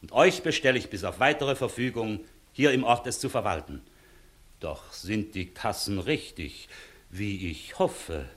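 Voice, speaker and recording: An actor playing a dignified, exasperated court inspector who gives clipped commands, in a 1960s German radio play. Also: male voice, on the low side, a little slow, a bit bright. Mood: composed, commanding.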